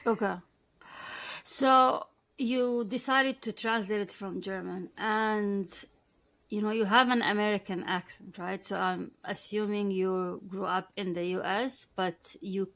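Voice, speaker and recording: Creaky-voiced woman hosting a podcast. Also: medium pitch, fairly bright tone. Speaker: podcast host, interviewer. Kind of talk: casual interview.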